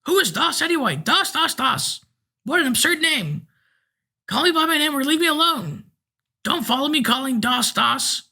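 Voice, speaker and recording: A man's voice: very high voice, lively intonation, moderate pace, vocal fry, sharp tone, pitched above the performer's own voice, exaggerated voice. A man reading a story aloud, voicing a frail, cranky eighty-year-old man with a thin, piping voice. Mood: angry.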